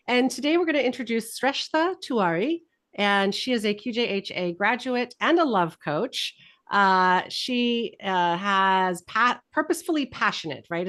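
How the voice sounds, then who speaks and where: A woman's voice: medium pitch, fairly bright tone. A woman hosting an online webinar series, introducing her guest in a bubbly, upbeat way over a video call. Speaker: host of an academy webinar series.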